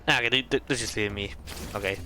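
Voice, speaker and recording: A man's voice: fairly low pitch, fast, pushed and bright, slight background noise. A man giving playful, hesitant casual commentary as he streams a game. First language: Spanish. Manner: playful, hesitant searching for words.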